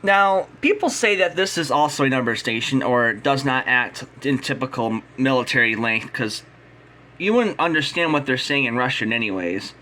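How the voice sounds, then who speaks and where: A man's voice: mid-range voice, animated, normal speed, sharp tone, a little hiss or hum. An American man giving an unscripted, opinionated explainer in a blunt, combative, emphatic manner. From United States. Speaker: radio signal hobbyist.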